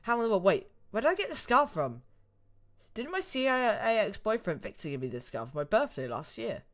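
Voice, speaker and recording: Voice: mid-range voice, very animated, brisk, fairly bright tone, pitched above the performer's own voice. A reader voicing a sarcastic, indignant young woman held captive, dramatic and exclamatory, in an amateur drama. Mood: indignant, exasperated.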